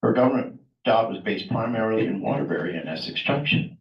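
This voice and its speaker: A man's voice: on the low side, expressive, quick pace, creaky, far from the mic. An older man reads a guest's introduction to a club meeting, plainspoken and slightly reluctant. He sounds set back from the microphone in an echoey room. The sound is dull and muffled. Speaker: club member introducing the speaker. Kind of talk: reading an introduction.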